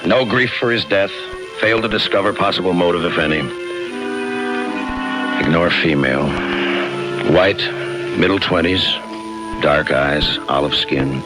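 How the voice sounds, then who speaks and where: An actor playing a hard-boiled, laconic homicide lieutenant with a short temper, terse and deadpan, in 1950s radio crime drama style. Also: male voice, low voice, measured pace, pauses often, low-quality recording. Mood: dry narration. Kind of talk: 1950s radio crime drama acting with first-person narration. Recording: old radio broadcast with music behind.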